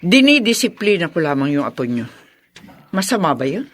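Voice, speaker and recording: A woman's voice: fairly low pitch, big pitch swings, fairly quick. A woman playing a haughty, scheming middle-aged guardian in a radio drama, curt, scornful and short-tempered. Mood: haughty, defiant.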